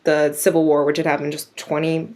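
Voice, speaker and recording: Female interview guest, writer type. Slightly low voice, enthusiastic, casual storytelling. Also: monotone, fairly quick, a little mellow. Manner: enthusiastic, chatty, frequent "like".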